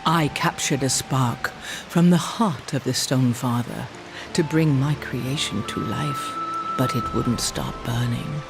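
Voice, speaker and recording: An actor playing an ancient, sorrowful shaman of a stone people in a video game, solemn and measured. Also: mid-range voice. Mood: sombre, reflective. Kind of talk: video game cutscene acting. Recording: clear music behind the voice. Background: game score.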